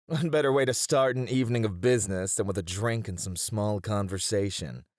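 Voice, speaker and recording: A man's voice: lowish voice, fairly quick, darkish tone, dry recording, studio quality, natural voice. A man playing a flirty, cheeky hare-eared mafia boss in an ASMR roleplay, teasing and playful as he charms his rivals. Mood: wry, easygoing.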